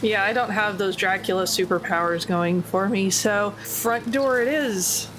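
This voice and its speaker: A woman's voice: mid-range voice, a little slow, background noise. A woman playing a tabletop game, chatting casually and tossing in playful quips.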